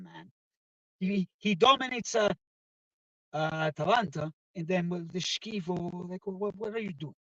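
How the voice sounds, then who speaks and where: A man hosting a casual live football chat from inside a car, talking loudly and excitedly and dropping Italian football phrases into his talk. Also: high-pitched, no room sound. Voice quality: loud voice. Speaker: live-stream football show host. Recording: dull, distant, dry car recording.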